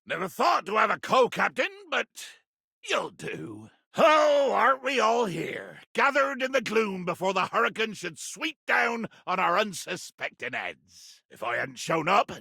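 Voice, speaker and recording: Gravelly, blustering pirate captain. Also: male voice, high-pitched, pushed and bright, no echo, studio quality, exaggerated voice. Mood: brooding, grudging. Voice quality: gravelly, raspy. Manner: theatrical, haughty, archaic nautical insults. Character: blustering, menacing, grandiose male pirate captain. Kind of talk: video game character acting.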